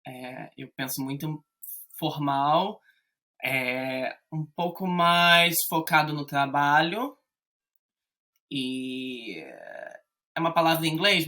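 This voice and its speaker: A man from Rio de Janeiro with a Carioca accent, chatting as a podcast guest in a relaxed, rambling way with frequent fillers. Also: fairly high pitch. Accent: Carioca Brazilian Portuguese. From Rio de Janeiro, Brazil. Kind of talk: casual conversation.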